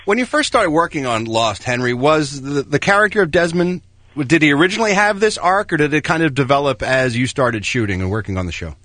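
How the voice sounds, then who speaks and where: A man's voice: on the high side, expressive, a little fast, fairly bright tone, no echo. A man co-hosting a radio chat show, asking earnest, inquisitive questions. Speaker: radio co-host.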